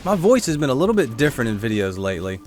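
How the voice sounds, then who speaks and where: A man giving casual gameplay commentary while playing a shooter, with a congested throat he keeps clearing, chatting to viewers and reacting aloud. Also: medium pitch, sing-song, very fast, darkish tone, faint music behind. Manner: chatty, reacts aloud to the game, answers chat. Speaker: gaming YouTuber.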